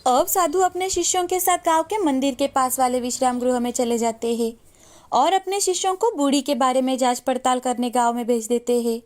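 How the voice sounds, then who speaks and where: A woman's voice: high voice, brisk, a little mellow. A woman narrating a moral story in a scripted storytelling style. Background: music.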